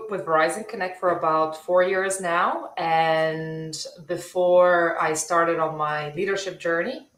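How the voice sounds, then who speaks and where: A woman in her thirties from Bulgaria, a tech leader chatting as a guest over a video call, friendly and quick to laugh. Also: low-pitched, fairly bright tone. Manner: friendly, laughs easily, fillers. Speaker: guest, software development leader. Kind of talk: casual interview chat. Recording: roomy echo.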